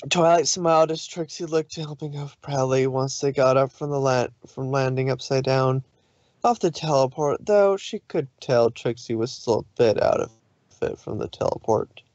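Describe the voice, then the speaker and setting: A man's voice: mid-range voice, moderate pace, a little mellow, dry recording, lo-fi sound. A man narrating fan fiction in a dramatic storytelling way, sometimes stumbling over his words.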